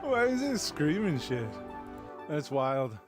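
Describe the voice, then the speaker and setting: A man's voice: a little high, big pitch swings, slow pace. A man streaming a video game, talking casually to himself in short, muttered, offhand remarks. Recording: loud music behind voice. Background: loud music.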